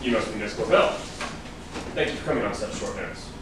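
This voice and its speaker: An actor in a staged crime drama reading, playing a measured police detective with a polite, procedural manner. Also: male voice, lowish voice, lightly acted. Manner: courteous, procedural. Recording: very echoey, distant, noisy stage recording.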